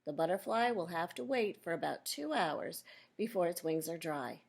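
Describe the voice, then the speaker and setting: A woman reading a children's nonfiction book aloud as a teacher, pausing to explain and question her young listeners directly. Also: on the low side, lively intonation, gravelly.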